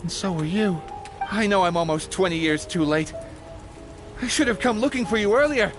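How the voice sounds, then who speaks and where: Casting: male actor as high-voiced grieving man, haunted by lost love, halting, pleading game drama, faint music behind. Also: close to an everyday voice. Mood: anguished remorse. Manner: halting, pleading, repeats himself. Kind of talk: dramatic video game acting.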